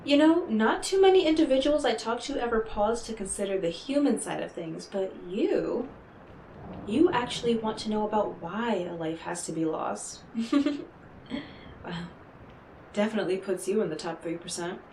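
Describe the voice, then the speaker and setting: A woman playing an enigmatic, smooth-talking otherworldly stranger in an audio roleplay, speaking in a coaxing, knowing, theatrical way with dramatic pauses. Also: mid-range voice, animated, a little mellow, natural voice. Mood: amused, explanatory. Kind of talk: scripted audio roleplay monologue addressed to the listener. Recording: roomy echo, clearly noisy background.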